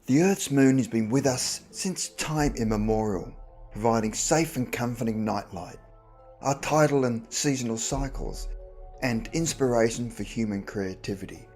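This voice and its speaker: A man reading a science documentary narration in a measured, formal manner. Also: mid-range voice, darkish tone, soft background music. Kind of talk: scripted narration.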